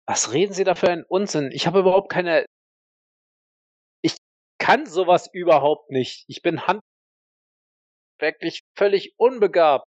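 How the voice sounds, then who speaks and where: A man playing a defiant, rattled war veteran accused of murder, halting and self-justifying, in an audio role-play on a dull microphone. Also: high voice, a little mellow, no echo. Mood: rattled, indignant.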